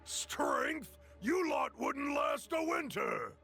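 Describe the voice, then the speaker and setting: An actor playing a proud, noble warrior in a video game, declaiming earnestly in a gritty voice. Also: male voice, extremely high pitch, huge pitch swings, slow pace, creaky, pushed and bright, dry recording, shouting, soft background music, full character voice. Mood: proud, defiant. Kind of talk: video game character voice lines.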